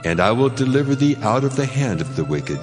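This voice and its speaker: An actor playing God as a stern, solemn and mighty deity, speaking in grave, measured declamation in a scripture drama. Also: male voice, medium pitch, sing-song, natural voice. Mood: solemn command. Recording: long cavernous reverb over music.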